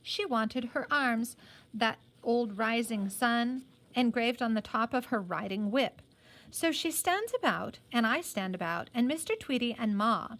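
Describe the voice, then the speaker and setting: A dreamy teenage working girl in a storybook, voiced by a woman narrator. Her voice is high and lively in melody, and she recounts things in a musing, matter-of-fact way with small pauses.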